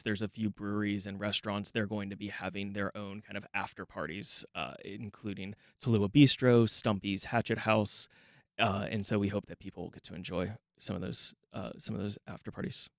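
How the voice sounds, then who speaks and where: Man, fairly low voice, radio interview guest, dry studio mic, relaxed talk with fillers. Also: moderate pace, no room sound. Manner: conversational, frequent um and restarts. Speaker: guest, neighborhood nonprofit director.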